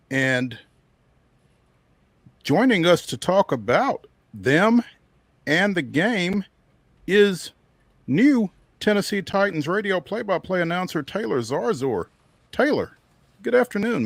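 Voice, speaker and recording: A man's voice: on the high side, no room sound. A man with an American accent hosting a sports talk show and interviewing a guest casually. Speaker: sports radio host.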